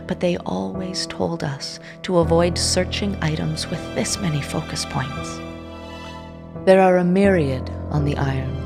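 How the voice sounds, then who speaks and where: An actress plays a young seer narrating in a low, gravelly register, her words intense and often dropping to a hush. She tells the tale in the first person for an audio drama, with a musical score playing beneath her. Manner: intense, hushed inner narration. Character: young woman seer reading objects' blood history. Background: orchestral score under the voice.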